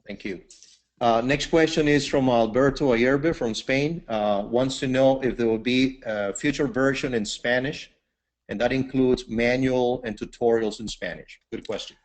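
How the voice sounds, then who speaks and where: A man's voice: medium pitch, measured pace. A man moderating a Q&A, reading out audience questions in a brief, businesslike way.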